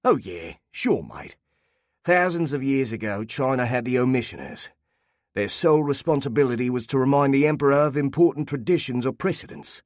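A man's voice: medium pitch, lively intonation, normal speed, dry recording, clean studio sound, close to an everyday voice. A man voicing a sly, worldly old male memory-keeper who speaks with a broad Australian accent and a teasing, jaunty lilt. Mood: mocking.

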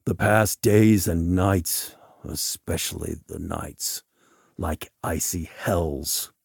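A man's voice: deep voice, slow, vocal fry, husky, no room sound, studio quality, voice pushed down, heavily acted. A man voicing a tormented, lovesick man in an audiobook, hesitant and drowsy, in a plaintive voice. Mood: weary, tormented. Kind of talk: audiobook character dialogue.

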